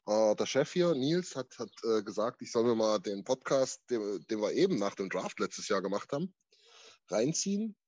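A husky-voiced man chats on a sports podcast. He rambles and restarts his sentences freely, and his voice sits at a middle pitch. The sound is dry and close. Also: sing-song, normal speed, a little mellow, no room sound, muffled recording. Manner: chatty, rambling with restarts, laughs easily. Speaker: podcast co-host. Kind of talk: casual sports podcast chat.

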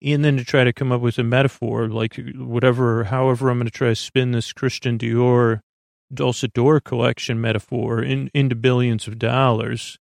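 Creaky, rambling sleep-podcast man. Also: medium pitch, little pitch movement, normal speed, no room sound, studio quality. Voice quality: creaky, soothing. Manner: meandering, loses his thread, many fillers. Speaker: podcast host.

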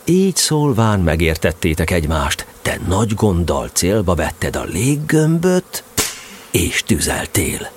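A man playing a fatherly, gently amused storyteller, narrating a children's audio drama expressively. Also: low-pitched, big pitch swings, a little hiss or hum. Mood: storytelling.

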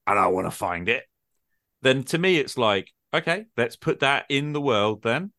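A man's voice: mid-range voice, animated, normal speed, pushed and bright, no room sound, clean studio sound. A middle-aged man in a casual, extended discussion, explaining his thinking and often checking in with short tag questions. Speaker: podcast host and game master.

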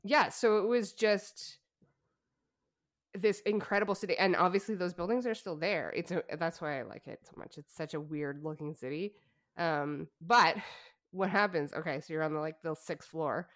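Chatty woman telling a story, low voice. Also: normal speed. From Canada. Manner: chatty storytelling with laughs and fillers. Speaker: podcast co-host. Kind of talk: casual chat.

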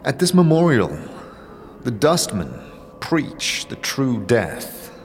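A man playing a scarred adventurer in an audio drama, hesitant, measured and gently sympathetic. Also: medium pitch, big pitch swings, long reverb tail. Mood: calm, probing. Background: music.

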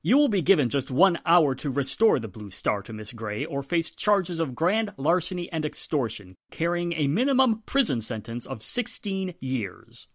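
A man's voice: a little high, very animated, normal speed, hardly any pauses, no room sound, studio quality. A man acting a stern, commanding police inspector in formal 1940s radio drama style. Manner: authoritative, formal.

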